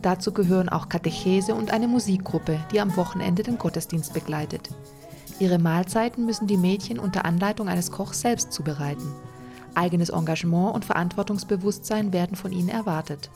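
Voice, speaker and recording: Low, dark-voiced female narrator. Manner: even, measured reading. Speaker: documentary narrator. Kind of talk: scripted narration. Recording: faint music underneath.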